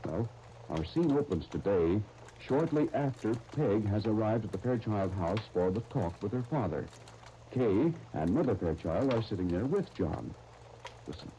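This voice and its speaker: A man announcing and narrating in scripted 1930s radio style, with a polished, measured delivery. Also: fairly low pitch, lively intonation, dark tone. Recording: muffled, noisy 1939 radio disc recording.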